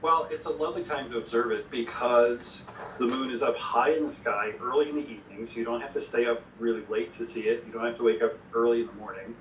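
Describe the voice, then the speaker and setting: A man's voice: medium pitch, fairly even intonation, quick pace, fairly bright tone. Echoey, distant man explaining science hesitantly. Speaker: science specialist guest. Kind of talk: explanatory live interview. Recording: echoey, distant mic, faint noise.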